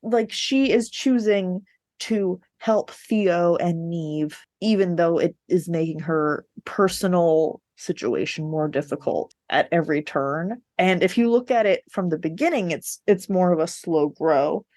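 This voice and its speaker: A woman's voice: fairly low pitch, measured pace, a little mellow, no room sound, studio quality. A woman co-hosting a book discussion podcast, chatting casually and arguing her opinions insistently. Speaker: podcast co-host.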